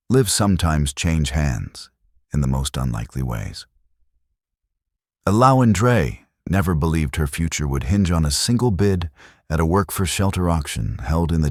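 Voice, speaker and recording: Very deep-voiced male Western storyteller. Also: no room sound, studio quality. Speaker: storyteller, narrator. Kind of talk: scripted dramatic Western story narration.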